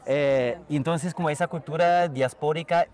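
A man who works as a salsa DJ and grew up in a Chilean exile household, talking casually in an interview and explaining things in a relaxed way with many fillers and tag questions. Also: fairly high pitch, normal speed. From raised in a Chilean exile household. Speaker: salsa DJ and festival organizer.

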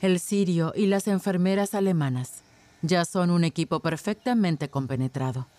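A woman's voice: lowish voice, moderate pace, mellow. A woman reading scripted narration as the narrator of a documentary.

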